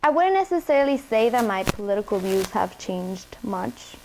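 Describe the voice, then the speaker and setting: A woman's voice: mid-range voice, very animated, moderate pace, a little mellow, slight background noise, low-quality recording. Chatty young woman from Ecuador. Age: young adult. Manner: conversational, with frequent "you know". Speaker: college student interviewee. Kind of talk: casual interview answers.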